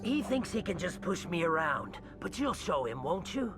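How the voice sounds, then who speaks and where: A man's voice: sits high, very animated, moderate pace, music in the background. An actor voicing an anxious, scheming alien man in a video game, agitated and pleading. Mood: pleading, wheedling. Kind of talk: video game character acting.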